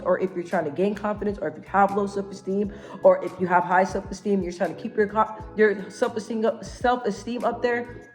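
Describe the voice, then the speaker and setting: A woman giving a casual motivational talk to camera, assertive and emphatic, repeating key phrases and often checking for agreement. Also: mid-range voice. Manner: emphatic, repeats phrases, constant tag questions seeking agreement; assertive, self-assured. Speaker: vlogger giving self-help advice.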